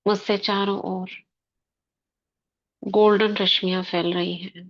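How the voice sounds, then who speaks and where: A woman guiding a spiritual meditation in slow, soft short phrases with long pauses. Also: mid-range voice, level tone, dull audio. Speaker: meditation guide. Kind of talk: guided meditation commentary.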